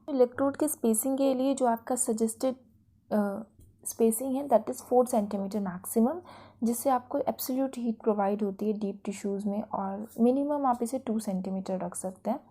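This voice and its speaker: A woman's voice: fairly high pitch, normal speed, warm tone. A woman with an Indian accent giving an explanatory lecture in a didactic way, often checking that listeners follow. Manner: didactic, with frequent check-in tags. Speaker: physiotherapy lecturer.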